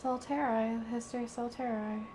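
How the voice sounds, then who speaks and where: A woman streaming a game live, giving casual, halting commentary as she thinks aloud. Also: fairly high pitch, little pitch movement. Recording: loud background noise on livestream.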